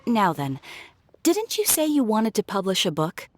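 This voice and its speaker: A woman playing a skeptical, practical bookseller in a video game, speaking in a dry, measured, sarcastic way. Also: medium pitch, wildly swooping pitch, a little hiss or hum. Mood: unimpressed. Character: skeptical, practical female small-business bookseller.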